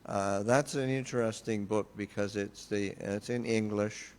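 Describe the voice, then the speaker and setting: An older man speaks slowly and haltingly, with a lowish voice, in an informal talk and Q&A. He pauses often with fillers and restarts. The hall carries a long reverb and a faint hum of noise. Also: fairly even intonation, darkish tone. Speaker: Buddhist monk teacher.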